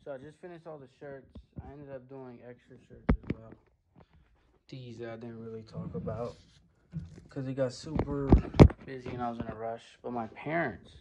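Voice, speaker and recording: A man's voice: medium pitch, steady tone, heavy background noise. Young man vlogging from a print shop. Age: 20s. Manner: hurried, chatty, talking while working. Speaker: screen printing business owner. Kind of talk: casual vlog to camera. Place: home basement print shop. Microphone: phone at arm's length.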